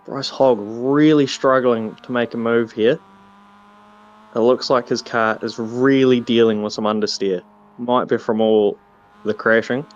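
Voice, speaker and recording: A man with a New Zealand accent co-commentating an online car race, joking and getting excited at the action. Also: medium pitch, a little slow. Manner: jokey, excitable on track action. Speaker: sim racing co-commentator. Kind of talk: casual live race commentary. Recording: dull lo-fi mic.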